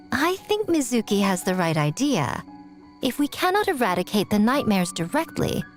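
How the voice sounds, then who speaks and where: A woman voicing a sly, self-assured fox-spirit shrine maiden in a video game, speaking in a poised, teasing and articulate way. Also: high-pitched. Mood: confident, persuasive. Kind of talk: scripted video game dialogue. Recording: music under the voice.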